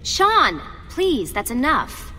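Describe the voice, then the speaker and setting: A worried woman from a hunted band in a video game. She speaks slowly and hesitantly, with strongly varied pitch. The voice trails into long reverb over faint noise. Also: huge pitch swings, pushed and bright, full character voice. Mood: pleading. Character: weary, worried female member of a hunted resistance group. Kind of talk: naturalistic video game acting.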